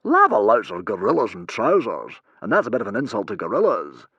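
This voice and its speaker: An indignant storybook hero, played by a man, an ordinary bloke who sounds put-upon and exasperated. He protests breezily in a bright, forceful voice with animated pitch. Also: normal speed, no room sound, muffled recording.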